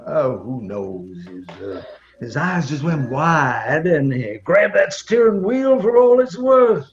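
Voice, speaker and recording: Chatty small-town shopkeeper, highish male voice, very animated pitch, halting, rambling, folksy, radio drama. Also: dull audio, a little hiss or hum. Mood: bemused. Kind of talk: audio drama acting, storytelling.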